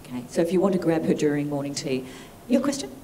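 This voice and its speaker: A woman in her fifties moderating a conference Q&A with a handheld mic, chatty and warm, often restarting her sentences. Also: deep voice, sing-song, fast, a little hiss or hum. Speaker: event moderator. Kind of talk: moderating a panel Q&A. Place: large conference hall with audience.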